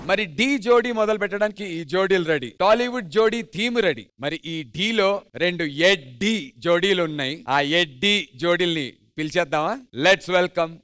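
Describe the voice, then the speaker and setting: A man's voice: sits high, lively intonation, measured pace, a bit bright, smooth. A man with an Indian accent hosting a dance show, announcing energetically and exuberantly to an audience. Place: TV studio stage. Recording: music behind voice. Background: music.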